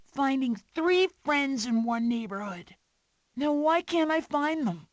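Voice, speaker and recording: A sweet, earnest young cartoon animal in a game. The voice is high and childlike, taking its lines slowly, with faint noise behind. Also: female voice, animated. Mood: puzzled. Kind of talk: animated video game voice clips.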